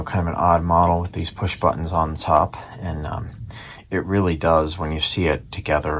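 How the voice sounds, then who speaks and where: An American man with a very low voice and plenty of fry talks casually through a repair. He rambles in an offhand, unscripted way. There is some noise behind him in the workshop recording. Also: a bit bright. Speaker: hobbyist repairer presenting radio restoration. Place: indoor workshop at a bench.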